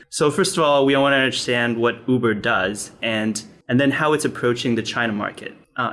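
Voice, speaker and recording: Man hosting a podcast, mid-pitched voice. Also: fairly bright tone. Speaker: podcast host. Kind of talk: podcast intro and interview questions. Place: sit-down interview in Shenzhen.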